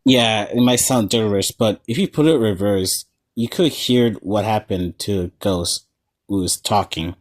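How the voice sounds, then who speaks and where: A man's voice: fairly low pitch, measured pace. A man hosting a video essay, giving casual, slightly stumbling analysis of a horror series. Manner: informal, stumbling over wording. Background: faint plucked guitar music.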